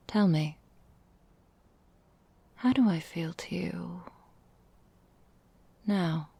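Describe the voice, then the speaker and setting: Woman acting a slow, whispering, seductive vampire mistress. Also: sits low, warm tone, dry recording, heavily acted. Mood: seductive, hungry. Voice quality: breathy, husky whisper. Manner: slow, velvety, formal old-fashioned phrasing. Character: seductive, predatory ancient vampire mistress, tenderly menacing. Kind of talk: intimate ASMR roleplay.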